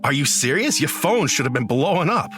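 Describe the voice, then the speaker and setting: An actor playing an excitable online sleuth in an audio drama, speaking in an emphatic, slangy, urgent American voice. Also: male voice, a little high, a little fast, faint music behind. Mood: exasperated, eager. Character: excitable young male online sleuth recruiting a reluctant witness.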